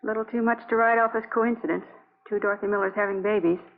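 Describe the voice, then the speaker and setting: A muffled old radio broadcast features an actress as a police office woman. She speaks quickly with little change in pitch, businesslike and understated, in 1950s radio drama style. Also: fairly even intonation, lightly acted. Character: brisk, matter-of-fact female police office worker.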